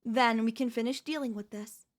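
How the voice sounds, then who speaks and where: Woman acting a high-voiced, sweetly menacing yandere wife. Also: fairly even intonation, lightly acted. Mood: coaxing, veiled threat. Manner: sweetly condescending, deliberate, theatrical. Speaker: voice actress. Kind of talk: ASMR audio roleplay, addressing the listener.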